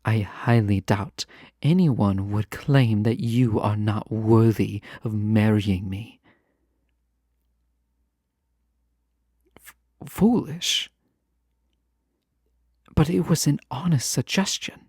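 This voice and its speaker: A man playing a lovesick, proud fantasy ruler in a romantic audio drama, speaking formally, earnestly and with hesitant pauses. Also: on the low side, expressive, darkish tone, no echo, clean studio sound, exaggerated voice. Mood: earnest, tender. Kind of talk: scripted romantic audio roleplay, close and intimate.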